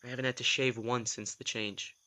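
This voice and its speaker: A man's voice: mid-range voice, level tone, brisk, pushed and bright, natural voice. A man acting a reluctant vampire in an audio drama, a sardonic, put-upon office worker who tells things in a wry, hesitant, self-deprecating way. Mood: wry, embarrassed.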